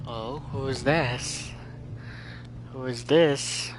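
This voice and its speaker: A man's voice: medium pitch, fairly even intonation, very slow, low-quality recording. A man live streaming a game, reacting casually and off the cuff with repeated questions.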